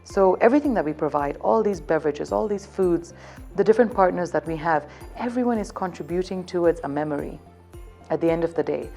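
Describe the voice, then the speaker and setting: Brief: thirties woman, hotel events rep, mellow low voice, upbeat sales pitch, faint music behind. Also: a little fast, creaky. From Zambia. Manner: upbeat, selling, keeps circling back to one theme. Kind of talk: promotional interview answers.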